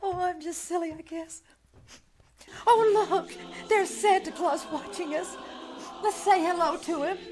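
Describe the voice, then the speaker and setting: A woman's voice: very high voice, unhurried. A woman playing a kindly but anxious caretaker, soothing and putting on cheer, in old-time radio drama style. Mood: tearful, covering up. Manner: gentle, soothing, forced cheer over distress. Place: live on a small-town theater stage. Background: music.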